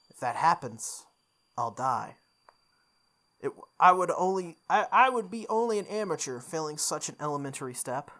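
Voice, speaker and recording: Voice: mid-range voice, very animated, normal speed, fairly bright tone. A video game playthrough host reading visual novel narration aloud in a dramatic manner. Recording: background music behind the voice. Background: game music.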